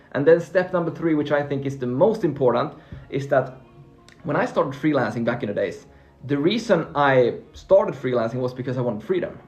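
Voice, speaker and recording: A man's voice: mid-range voice, a little mellow. A man from Finland whose first language is Swedish, hosting a casual live Q&A about freelancing and answering viewer questions in a friendly, upbeat way. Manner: upbeat, repeats words and restarts while reading viewer comments. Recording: faint background music.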